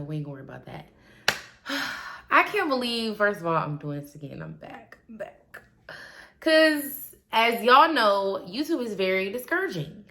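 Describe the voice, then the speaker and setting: A woman, talking casually and animatedly to her viewers, swinging between loud asides and quiet trailing remarks. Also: on the low side. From Milwaukee, Wisconsin. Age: 20s. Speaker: vlogger. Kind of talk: casual confessional vlog.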